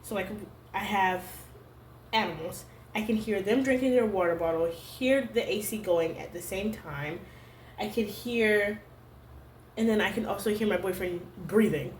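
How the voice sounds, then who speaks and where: A young adult woman vlogging to camera at home, talking in a halting, searching way full of "like" fillers. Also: mid-range voice, a little hiss or hum. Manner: hesitant, halting, heavy use of "like" fillers. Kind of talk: personal confessional vlog. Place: room at home. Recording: roomy home echo.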